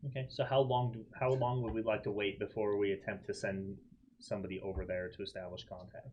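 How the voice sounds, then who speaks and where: Casting: man with a fairly low voice, casual game player, slightly noisy recording. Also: level tone, fast talker, dull audio. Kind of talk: casual chat.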